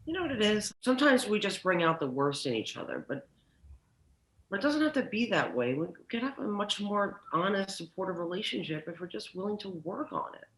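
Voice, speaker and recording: An exasperated sitcom wife, with a forceful, bright voice that swoops up and down. She presses her point in halting, insistent phrases, with a sardonic edge beneath her attempts at patience. The sound is roomy. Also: medium pitch, sing-song. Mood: earnest. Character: exasperated, sharp-tongued wife trying hard to be reasonable. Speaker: sitcom actor at a cast reunion table read. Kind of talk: live comedic script read.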